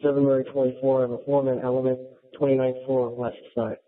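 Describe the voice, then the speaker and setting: A man's voice: medium pitch, flat delivery, fast talker, dry recording. An American man, a police officer, reporting in over a radio. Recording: tinny narrowband radio scanner audio.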